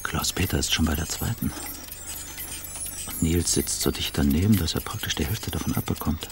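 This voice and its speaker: An actor in a radio play playing a jittery, obsessive addict in a breathy, half-whispered, intense inner monologue. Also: male voice, sits low, bright, forceful tone, a little hiss or hum, full character voice. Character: jittery, obsessive man craving a cigarette.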